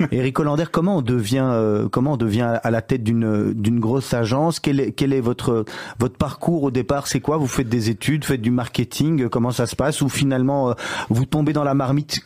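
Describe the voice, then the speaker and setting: A man hosting a radio talk show, introducing the show and asking quick questions in an upbeat way. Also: medium pitch, darkish tone. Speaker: radio host.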